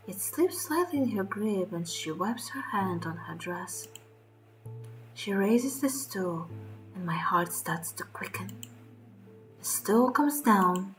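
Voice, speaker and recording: A woman reading a story aloud in a dramatic, expressive and deliberate first-person narration. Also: medium pitch, poor audio. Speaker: streamer reading a visual novel aloud.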